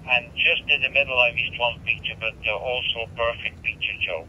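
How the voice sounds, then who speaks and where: A man's voice: mid-range voice, fairly even intonation, fairly quick, slight background noise. A Belgian man with a Flemish accent, Dutch his first language, chatting over a ham radio link. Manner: chatty, laughs between phrases. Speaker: radio operator. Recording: dull radio transmission.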